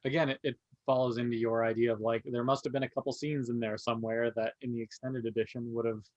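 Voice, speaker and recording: A man's voice: medium pitch, flat delivery, fast talker, darkish tone, no echo. A man chatting casually as a podcast panelist, on a slightly dull recording. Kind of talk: casual chat.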